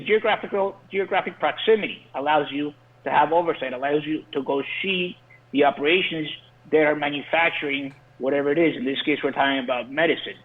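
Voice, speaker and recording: A man who grew up in Colombia, speaking as a guest industry insider, earnest and prone to restarting his words. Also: fairly high pitch. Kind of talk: explaining in an interview. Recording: phone-line call-in.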